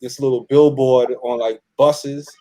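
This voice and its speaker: A man from New York speaking as a cast member in a casual interview, halting and slangy. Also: medium pitch, steady tone, mellow. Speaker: audio drama cast member being interviewed.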